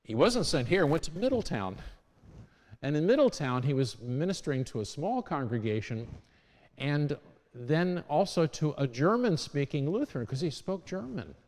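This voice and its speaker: A man's voice: fairly high pitch, very animated, normal speed, darkish tone. A man giving an informal history talk to a congregation in a church, talking conversationally and correcting himself as he goes. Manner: conversational, with restarts and self-corrections. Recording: long church reverb.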